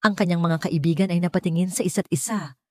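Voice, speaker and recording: A woman's voice: fairly low pitch, fast, no room sound. A woman with a Filipino accent reading a scripted dramatic story as a narrator. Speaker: audiobook narrator.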